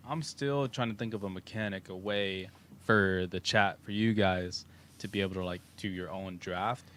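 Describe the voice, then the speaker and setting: Low-voiced texan guy rambling on a stream, lots of ums, bit of hiss. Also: moderate pace. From Texas. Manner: relaxed, rambling, with fillers and laughs. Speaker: co-host of a crypto livestream. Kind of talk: casual livestream chat. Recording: faint background noise.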